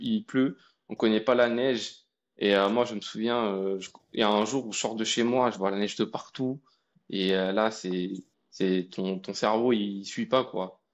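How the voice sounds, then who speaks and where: A young man from India, a guest telling his story in a relaxed, colloquial way with many fillers and restarts. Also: fairly low pitch, lo-fi sound. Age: young adult. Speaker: guest, AI researcher. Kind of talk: casual conversation.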